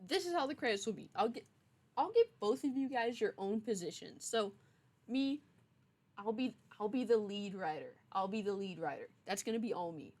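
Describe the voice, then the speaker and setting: A woman's voice: medium pitch, animated, normal speed, darkish tone. A young adult woman streamer chatting casually with her viewers in a playful, teasing, mock-stern way. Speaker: streamer, game writer. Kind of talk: casual livestream chat.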